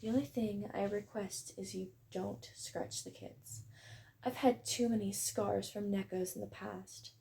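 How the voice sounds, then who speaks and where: A woman playing a friendly, wholesome tomboy dog girl who runs a kennel, talking in a gentle, encouraging, chatty way in a roleplay audio. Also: mid-range voice, steady tone, measured pace, gravelly, rough recording. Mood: warm, encouraging. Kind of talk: roleplay audio, acted one-sided conversation.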